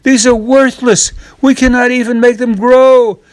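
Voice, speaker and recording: Man voicing a weary poor widowed mother, slow-spoken. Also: extremely high pitch, little pitch movement, no room sound, voice pushed up. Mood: dismayed.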